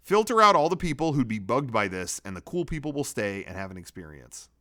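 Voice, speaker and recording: A man with a very lively, swooping delivery at an ordinary middle pitch. He talks like a casual comedy web host, reading short bits aloud and then ranting about them in blunt, sweary language. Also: a little fast. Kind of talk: reading own draft tweets with casual, ranting commentary.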